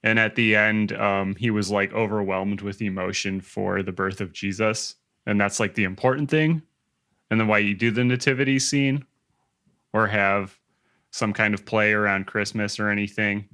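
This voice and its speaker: A man's voice: on the low side, normal speed, bright, forceful tone, clean tone. A man co-hosting a podcast in casual, conversational discussion. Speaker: podcast co-host. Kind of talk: casual chat.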